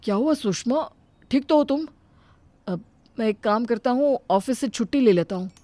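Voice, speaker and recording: A woman voicing a young married son who speaks in a brisk, plain-spoken way in a cartoon story. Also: a little high, expressive, dark tone, no room sound, close to an everyday voice. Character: young married son, curt then caring. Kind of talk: cartoon dubbing.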